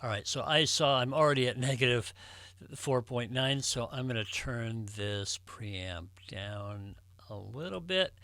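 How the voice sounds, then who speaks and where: A man giving a casual step-by-step tutorial, explaining things in a measured way with pauses. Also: medium pitch, animated, pushed and bright, no echo, faint music behind. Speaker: host of a record collecting tutorial channel.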